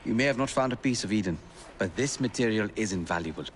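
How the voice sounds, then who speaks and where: An actor playing a polite, bookish Victorian gentleman with a refined English accent and formal wording in a video game. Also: lowish voice, lively intonation, quick pace, slight background noise, close to an everyday voice. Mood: earnest, intrigued. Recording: loud music behind dialogue.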